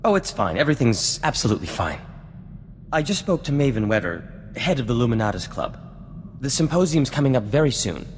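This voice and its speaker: An actor playing a vain, refined scientist-inventor in a video game cutscene, speaking in a formal, theatrical and florid way. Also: male voice, mid-range voice, sing-song, a little fast, slight background noise, natural voice. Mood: anxious. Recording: long reverb, music underneath.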